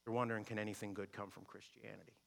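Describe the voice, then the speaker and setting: Male pastor, bright and forceful, emphatic sermon delivery in a church with a long reverb. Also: flat delivery, rapid-fire, vocal fry. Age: adult with a wife and kids. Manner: emphatic preaching, swings from shouting to low and quiet. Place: church sanctuary. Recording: long reverb of a large hall.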